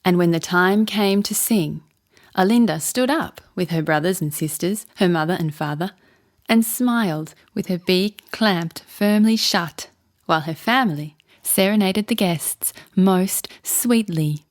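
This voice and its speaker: A woman narrating a children's bedtime story in an expressive, measured storytelling manner. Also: lowish voice.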